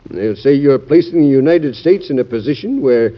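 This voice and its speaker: An actor playing an urgent, cautioning Secretary of State in classic radio drama style, emphatic, formal and argumentative. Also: male voice, medium pitch, animated, brisk, lightly acted. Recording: dull band-limited old radio recording.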